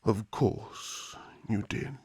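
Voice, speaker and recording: A man's voice: drawn-out words, a little mellow, dry recording. A man playing a stern, old-fashioned, exasperated elf king with a regal, blustering manner in a fantasy audio roleplay. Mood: grudging.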